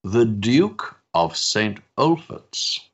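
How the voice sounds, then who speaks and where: An actor playing a genial, gossiping older Victorian society doctor, with fussy, hesitant, pompous politeness in a period stage drama. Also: male voice, on the low side, sing-song, extremely slow, husky, highs cut off, exaggerated voice. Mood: gossipy, hesitant.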